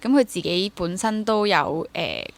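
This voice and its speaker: A woman's voice: medium pitch, expressive, a little slow, creaky. A young woman with a Hong Kong Cantonese accent chatting casually as an interview guest, mixing in English words. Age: young adult. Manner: code-switches into English words. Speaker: interview guest, theatre actor and drama teacher.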